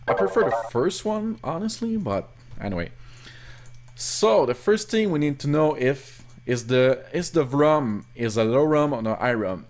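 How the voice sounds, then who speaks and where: A young man with a Québécois French accent, French his first language, walking through a ROM hack in a rambling, self-correcting screen-recorded tutorial at his computer. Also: mid-range voice. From Quebec, Canada. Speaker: ROM hacker. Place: home office.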